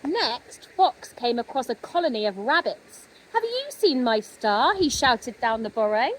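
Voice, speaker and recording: Woman, children's storybook narration, high pitch, expressive dramatic pauses, faint background noise. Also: rough recording. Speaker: storybook reader.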